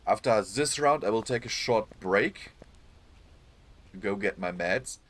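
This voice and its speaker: A man streaming a game live, hoarse and losing his voice, chatting apologetically to his viewers. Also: lowish voice, animated, slow, fairly bright tone. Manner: apologetic, chatty, talks to chat. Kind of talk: live gameplay commentary.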